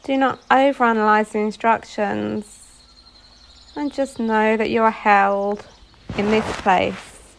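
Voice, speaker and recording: A woman leading a guided meditation as a yoga nidra instructor, giving soothing instructions phrase by phrase with pauses. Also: mid-range voice, fairly even intonation, vocal fry, a little hiss or hum, poor audio.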